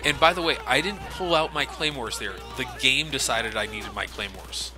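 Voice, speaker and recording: A young man giving relaxed, casual commentary over a game, swearing freely and laughing often. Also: medium pitch, normal speed, music in the background. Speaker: let's play commentator. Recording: game gunfire noise behind. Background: game gunfire and ambience.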